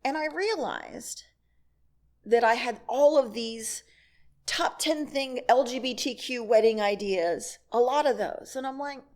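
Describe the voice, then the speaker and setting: A woman's voice: a little high, unhurried, a little mellow. A middle-aged woman giving a candid, wry personal talk to a congregation, telling stories and acting out bits of dialogue. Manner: laughs as she talks. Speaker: guest speaker at a church service.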